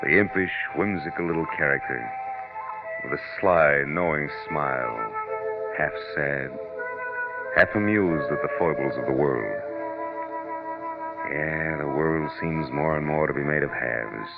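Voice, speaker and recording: An actor playing a world-weary, tough private detective with terse, slangy, wry delivery in old-time radio noir style. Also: male voice, a bass voice, a little slow, gravelly, long pauses, full character voice. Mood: weary, reflective. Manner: terse, slangy, hard-boiled. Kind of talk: 1940s radio acting, noir narration. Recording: dull vintage radio recording with music.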